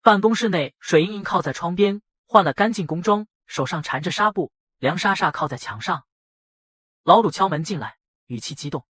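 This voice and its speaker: A man voicing a brash young factory boss who narrates his own dramatic motion-comic story in a husky voice. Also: sits high, expressive, normal speed, fairly bright tone, no room sound, heavily acted. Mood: tense narrating. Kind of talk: dramatic first-person scripted narration.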